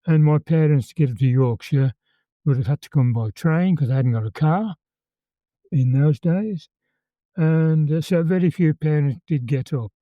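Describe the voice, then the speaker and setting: A man in his eighties with a Birmingham accent, calmly recalling old times as an interview guest and often repeating words as he tells his story. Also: on the high side, moderate pace, dry recording, dull audio. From England. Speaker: interview guest, former conscript. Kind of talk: reminiscing in conversation.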